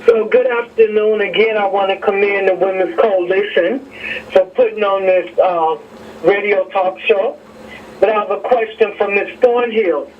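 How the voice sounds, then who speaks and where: A man's voice: high-pitched, fairly even intonation, unhurried, slight background noise. A man calling a radio talk show, putting questions in a formal, direct way. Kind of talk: call-in question. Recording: dull, distant call-in line.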